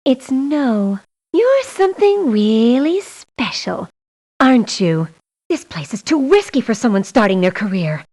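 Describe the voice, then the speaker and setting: A blunt, sassy young female mercenary from a video game. Her voice sits fairly high and swings widely, with slow, emphatic delivery of each line. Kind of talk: video game character voice lines. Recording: muffled lo-fi game audio clips.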